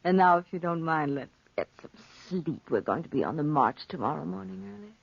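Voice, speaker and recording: A woman's voice: low-pitched, lively intonation, brisk, gravelly, breathy edge, exaggerated voice. A woman playing an alluring, cool young woman in a 1940s radio drama, theatrical and teasing, with scornful lines. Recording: muffled, dry 1940s radio recording.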